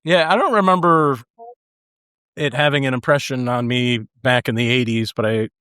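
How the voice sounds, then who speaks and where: A man's voice: mid-range voice, normal speed, bright, forceful tone, smooth, dry recording, studio quality. A middle-aged man co-hosting a podcast, chatting casually and a little tentatively about music. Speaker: podcast co-host.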